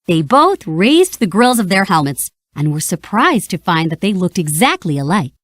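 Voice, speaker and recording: Casting: female storybook narrator, expressive and dramatic, very lively pitch, dry close sound. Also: moderate pace, no echo. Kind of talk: scripted children's read-along narration.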